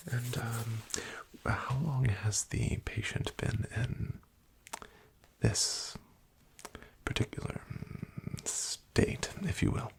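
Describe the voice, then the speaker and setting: Slow whispering gravelly man. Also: medium pitch, steady tone. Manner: polite, hesitant, clinical and slightly wry. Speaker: doctor in a roleplay. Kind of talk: soft-spoken ASMR roleplay.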